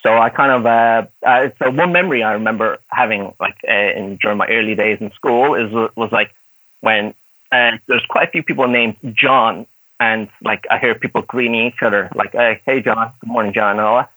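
A man's voice: lowish voice, moderate pace, bright, forceful tone, no room sound, rough recording. A man in his thirties with a light, mixed Irish accent, whose first language was Cantonese. He is a podcast guest who rambles through his answers with many fillers and laughs often. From Dublin, Ireland. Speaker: guest, actor and martial artist. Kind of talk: casual podcast interview.

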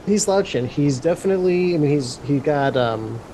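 A man playing a tabletop game, casually describing his character in halting, repetitive, improvised phrases. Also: lively intonation, mellow. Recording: faint rumble behind voice.

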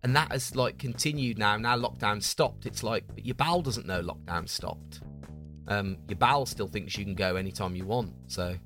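A man's voice: on the low side, normal speed, fairly bright tone, faint music behind. A man co-hosting a podcast, chatting casually and teasing his guest. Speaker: podcast co-host.

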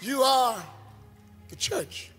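A man preaching a sermon in a rhythmic call-and-response style, building to shouts with a congregation. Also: very high voice, expressive, drawn-out words. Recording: long hall reverb, faint music.

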